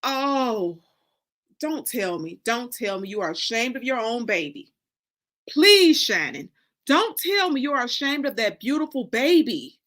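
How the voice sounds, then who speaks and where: A woman's voice: a little high, sing-song, measured pace, a bit bright. A woman voicing an indignant, scolding mother in a dramatic book reading, with emphatic, folksy, confrontational phrasing. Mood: outraged, hurt.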